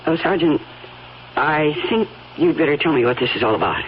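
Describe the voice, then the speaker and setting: Dull old radio recording, cool American rancher's daughter, evasive, clipped, defensive, faint hiss. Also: female voice, low voice, expressive, fairly quick. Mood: cool, guarded. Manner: clipped, defensive, faltering under questioning. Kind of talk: old-time radio drama acting.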